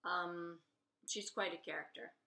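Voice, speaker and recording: A woman's voice: lowish voice, steady tone, unhurried, pushed and bright. A woman author reading her children's novel aloud expressively, with informal asides. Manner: openly fond of the characters. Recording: roomy, echoey room sound.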